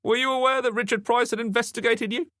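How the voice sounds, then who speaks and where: Man voicing a stern, high-pitched policewoman. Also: fairly even intonation, quick pace, pushed and bright, dry recording, clean studio sound. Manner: formal, interrogating. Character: stern, brisk female police detective inspector questioning a witness.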